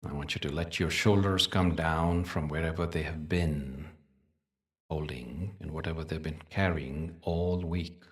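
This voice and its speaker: A man leading a guided meditation in a soothing, measured way, leaving long pauses between short phrases. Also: extremely low pitch, fairly even intonation, recorded from a distance. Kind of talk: guided meditation with spoken teaching.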